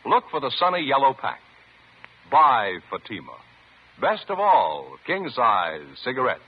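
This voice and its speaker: A man's voice: mid-range voice, sing-song, a little slow, a little hiss or hum. A man announcing a 1950s radio commercial with an emphatic sales delivery. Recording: muffled vintage radio recording.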